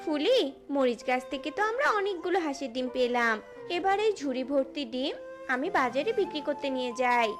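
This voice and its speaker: A woman's voice: sits high, expressive, fairly quick, over music. A woman playing an eager, motherly villager in a cartoon, given to exclaiming. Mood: pleased. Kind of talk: cartoon voice acting.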